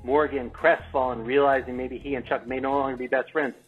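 A man's voice: mid-range voice, flat delivery, brisk. A man reading stage directions as narrator of a script table read. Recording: tinny, phone-like call audio with music.

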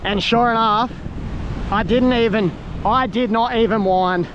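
A young man giving excited running commentary while fighting a fish on sea rocks, straining as he goes, recorded on a head-mounted camera. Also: sits high, unhurried, dull audio. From Australia. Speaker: fishing vlogger. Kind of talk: live commentary while fishing. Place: outdoor sea rocks by crashing surf. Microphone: head-mounted action camera. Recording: wind and surf noise on camera mic.

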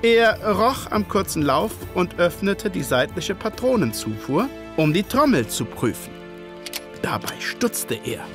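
An actor playing a gentlemanly memoirist, narrating a detective radio play in a formal, expressive storytelling manner. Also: male voice, sits high, measured pace, music in the background, heavily acted. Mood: reminiscing. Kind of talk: scripted first-person narration in radio play.

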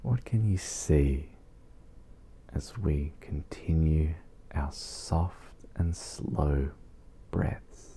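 A man leading an ASMR guided meditation in a soft, soothing, breathy voice with long pauses. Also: a bass voice.

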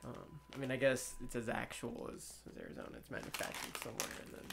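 A man's voice: medium pitch, wildly swooping pitch, fast, vocal fry, heavy background noise. A young man rambling casually to himself while doing live commentary on an old movie, full of fillers and restarts. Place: at home.

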